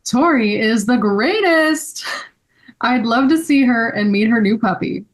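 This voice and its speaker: A woman's voice: on the high side, expressive, measured pace. A woman playing an earnest, sensitive young boy in a children's story table read, speaking in a heartfelt way. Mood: grateful, relieved.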